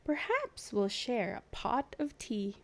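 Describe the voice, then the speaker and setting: A woman voicing a kind, polite old male zookeeper in a children's storybook, gently expressive and courteous. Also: on the high side, slow, full character voice. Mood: grateful. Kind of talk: children's storybook read-aloud.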